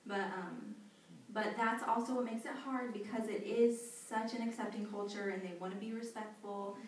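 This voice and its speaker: A young woman in an interview, chatty and animated, with plenty of casual fillers and easy laughter. Her voice sits at a medium pitch. The mic is some distance off, so the sound rings around a large echoey hall with a faint hiss underneath. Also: moderate pace. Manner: chatty, animated, laughs often, many "you know" and "like" fillers. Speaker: interviewee, volunteer back from abroad. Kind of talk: conversational interview answers.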